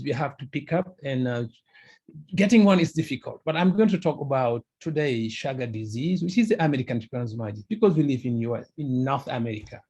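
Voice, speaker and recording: Husky-voiced man from Senegal presenting. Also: medium pitch, warm tone. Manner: halting, emphatic, non-native phrasing. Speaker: researcher presenting. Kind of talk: webinar presentation. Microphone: video call.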